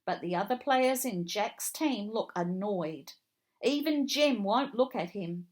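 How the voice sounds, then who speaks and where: A woman narrates a children's storybook as a read-along. She takes it very slowly and deliberately, with expressive phrasing and a mid-range pitch.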